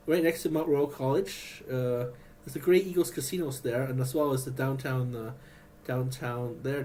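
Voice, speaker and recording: A man vlogging in a rambling, halting way, with many restarts and fillers. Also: mid-range voice, warm tone, a little hiss or hum. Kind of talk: rambling personal vlog.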